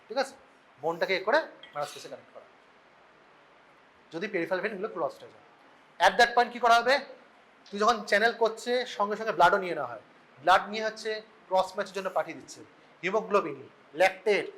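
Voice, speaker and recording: A man's voice: high-pitched, fairly bright tone, low-quality recording. A man with a Bengali Indian accent giving an informal medical lecture in mixed language, asking and answering his own questions and often checking that students follow. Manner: explains through questions and answers, repeatedly checking understanding. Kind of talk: informal classroom lecture mixing Bengali and English.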